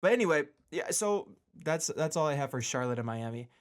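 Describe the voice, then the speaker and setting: A man hosting a casual solo sports podcast, rambling and animated, repeating phrases for emphasis with joking asides. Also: on the high side, a little fast.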